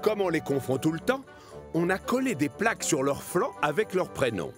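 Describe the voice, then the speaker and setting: A man narrating a children's cartoon in French dub as an expressive storyteller. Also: a little high, normal speed, music in the background.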